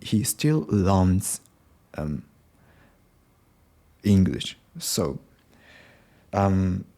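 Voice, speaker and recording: A young man with a Japanese accent, speaking English as a learner in an unscripted solo podcast. He hesitates, restarts often and fills pauses with "um". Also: fairly low pitch, mellow. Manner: hesitant, many ums and restarts. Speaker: podcast host.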